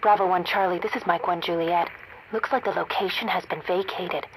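A woman's voice: on the low side, steady tone, fairly quick. A woman playing a terse, businesslike military radio operator in a video game, heard through a radio filter. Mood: informative. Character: brisk female military radio operator, secretly helpful. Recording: noisy military radio link.

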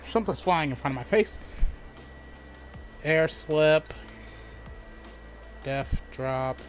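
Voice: medium pitch, slow pace. A gamer giving casual let's play commentary, with a nose stuffed up from a cold. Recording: voice-over with game music behind. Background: video game music and sounds.

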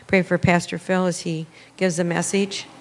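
A woman prays aloud in church in a lowish, very even voice. She is hesitant and plain-spoken, heard through hall reverb. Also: moderate pace. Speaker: prayer leader before the sermon. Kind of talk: spontaneous prayer. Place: church sanctuary, indoors. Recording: echoey hall with faint noise.

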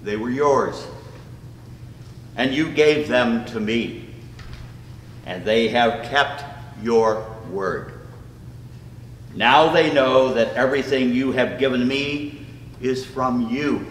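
Very slow-reading elderly man, echoey hall. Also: mid-range voice. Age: 70s. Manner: measured, pausing between short phrases. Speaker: scripture reader. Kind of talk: solemn scripture reading. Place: small church room, standing at a lectern. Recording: echoey hall with clear background noise.

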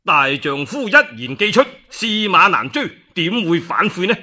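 A man voicing a proud, boastful young commander in Cantonese, with a forceful, declamatory manner. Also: high voice, sing-song, measured pace, pitched above the performer's own voice, heavily acted. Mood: boastful, confident.

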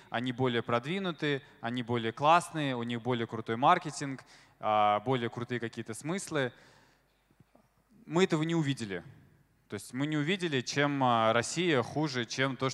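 A man's voice: medium pitch, fairly bright tone. A man in his thirties talks to a crowd from a large stage, holding a handheld microphone. He speaks casually and fills his sentences with small hesitations, now and then putting questions to the listeners. His mid-range voice rings out with the long reverb of a big hall. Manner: conversational, filler-heavy, asks the audience questions. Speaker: conference speaker. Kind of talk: live stage talk to an audience.

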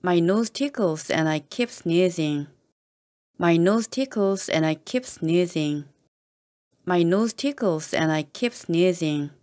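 A woman with a slightly low voice reads short sentences at a slow, deliberate pace. She stays calm and even, saying each line the same way again and again. Every word comes out carefully for learners to copy. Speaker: English teacher reading model sentences.

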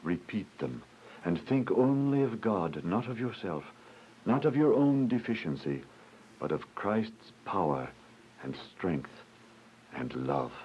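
An actor playing a gentle, devout, fatherly visitor who speaks tenderly and reassuringly in a religious radio drama. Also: on the low side, sing-song, slow. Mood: solemn, encouraging. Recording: dull, noisy lo-fi radio drama.

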